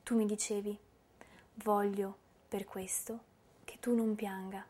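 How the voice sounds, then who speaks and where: An actress playing a sorrowful, tender young woman poet, reciting in measured, intimate phrases. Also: mid-range voice, level tone, warm tone, slightly rough. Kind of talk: dramatic poetry recitation.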